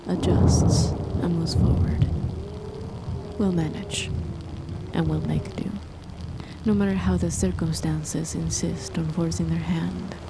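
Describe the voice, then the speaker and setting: A woman voicing a cold, controlling female chemist spouse in a soft, measured, often whispered ASMR horror roleplay, her tone condescending. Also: fairly low pitch, gravelly. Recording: noisy with rain behind. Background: steady rain.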